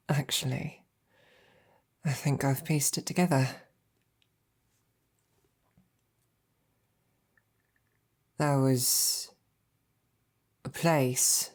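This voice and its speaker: A devoted female royal knight, acted by a woman in an intimate audio roleplay. The voice is low and soft-edged, moving slowly and haltingly. The delivery is tender and earnest, addressed closely to a beloved princess. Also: heavily acted. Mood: gently teasing. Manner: hesitant, halting, softly earnest. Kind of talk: intimate scripted roleplay monologue to the listener.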